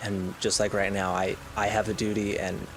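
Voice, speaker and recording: A tabletop role-player improvising casually, narrating and speaking in character in a halting, hesitant way. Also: low-pitched, level tone, over music. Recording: rain ambience over tabletop game recording.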